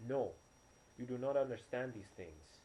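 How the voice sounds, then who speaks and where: A man reading a story, voicing a fierce, superstitious local man with forceful, clipped, emphatic delivery. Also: mid-range voice, expressive, normal speed, voice pushed up, close to an everyday voice. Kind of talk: audiobook character dialogue. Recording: noisy, poor-quality home recording.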